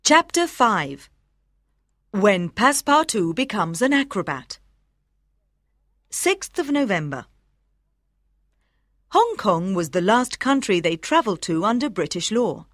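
A woman narrates an audiobook in a lively, varied voice at a medium pitch. Her reading is scripted and carefully enunciated, and the recording is dry and close. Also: no echo. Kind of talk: scripted storybook narration for language learners.

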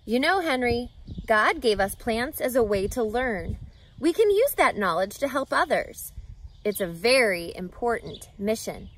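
A woman's voice: a little high, big pitch swings, a little slow, husky, heavily acted. A woman voicing a kindly young man who mentors a boy, earnest and encouraging, in a storybook read-aloud.

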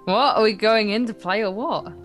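Girl lets player, average pitch, irreverent jokey readthrough, faint music behind. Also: normal speed. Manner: sarcastic, irreverent. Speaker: let's player reading character lines aloud. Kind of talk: casual voice reading of a visual novel with joking asides. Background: game music.

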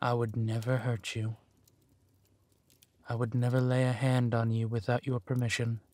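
A man playing a possessive, menacing villain husband who is tender to his spouse, in a slow, soothing, theatrical manner for a roleplay audio drama. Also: mid-range voice, level tone, darkish tone, no echo, natural voice. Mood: quietly protective.

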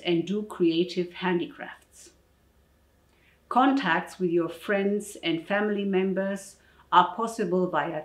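A middle-aged woman giving a scripted public information address in a measured, formal, deliberate way. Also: medium pitch. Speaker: presenter of advice for parents. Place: indoor office room. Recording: echoey room.

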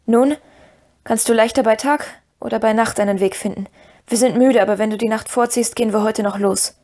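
A woman voicing a stern, commanding yet pitying male hobbit in a fantasy story, speaking in a firm, measured way. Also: a little high, fairly even intonation, warm tone, natural voice. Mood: firm, calm.

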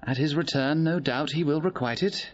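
An actor playing a grave gentleman recounting court news in measured Shakespearean drama style. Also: fairly high pitch, highs cut off, lightly acted. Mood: confiding, wry. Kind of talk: Shakespearean radio drama acting.

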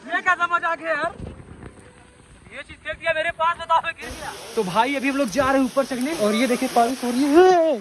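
High-pitched young Indian man vlogging outdoors. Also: a little fast, pauses often, noisy background. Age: 20s. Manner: excitable, addressing viewers and friends. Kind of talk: casual outdoor vlog commentary. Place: outdoors on a rocky hillside and waterfall. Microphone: phone at arm's length. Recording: outdoor phone vlog with music behind.

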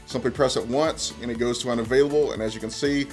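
A man's voice: medium pitch, steady tone, fast, darkish tone. Casual man giving a tech tutorial, music behind. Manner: conversational, explains step by step. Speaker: tech presenter. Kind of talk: casual how-to tutorial narration.

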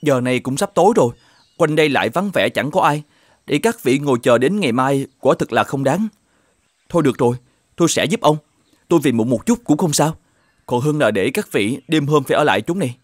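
An actor playing a good-natured, simple peasant farmer in an animated fairy tale, earnest and polite. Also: male voice, medium pitch, brisk, no room sound, studio quality, natural voice. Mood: concerned, kindly. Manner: earnest, polite, slightly naive. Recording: music behind the voice.

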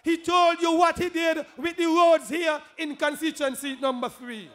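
A man with a Kittitian accent giving an emphatic, rousing political rally speech as a candidate, repeating phrases and raising them to shouts. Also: very high voice, little pitch movement, normal speed.

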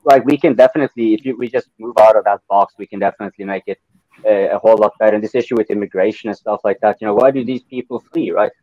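A man's voice: on the low side, quick pace, no echo. A man from Norway chatting on a video call, rambling with many fillers and restarts. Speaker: panelist. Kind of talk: casual video call chat. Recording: dry muffled call audio.

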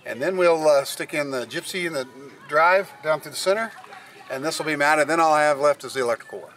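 A man's voice: a little high, brisk, a bit bright, slight background noise. Middle-aged man explaining boat work. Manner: plain, step-by-step explaining with fillers. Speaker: sailing vlogger. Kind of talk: casual how-to narration. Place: on a sailboat deck, outdoors and in the cabin.

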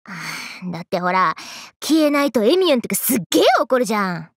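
A woman's voice: medium pitch, very animated, brisk, no echo. A woman voicing a brash, playful, hyper young woman from an anime game, with cocky, slangy, teasing delivery. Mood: excited, boastful. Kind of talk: Japanese anime game character voice lines.

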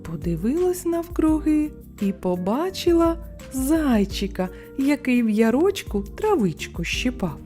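Slow, lively woman reading a fairy tale. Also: high-pitched, soft background music. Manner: expressive, unhurried bedtime reading. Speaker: storyteller. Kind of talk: scripted children's fairy tale narration.

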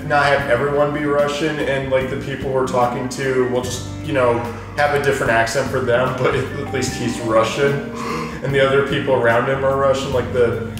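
A man doing casual, joking gaming commentary with rambling asides. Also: mid-range voice. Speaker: let's play host. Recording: roomy echo, distant mic, music behind. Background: game music.

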